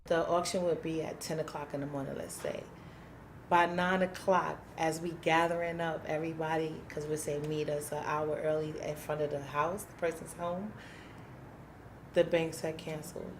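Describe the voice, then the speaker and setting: A woman's voice: deep voice, level tone, moderate pace, slight background noise. A woman, a community housing activist, telling her story candidly in an interview, emphatic and plain-spoken, acting out what people said.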